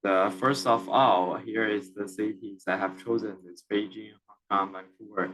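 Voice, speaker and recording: A young man from China with a Chinese accent, giving a class presentation in a halting way with many fillers and restarts. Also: lowish voice, steady tone, crowd noise, poor audio. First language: Mandarin Chinese. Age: young adult. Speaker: student presenter.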